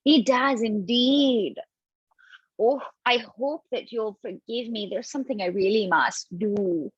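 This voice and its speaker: A woman's voice: fairly high pitch, unhurried, husky, dry recording, highs cut off. A woman reading a teasing, flirtatious woman at the races, coy in manner.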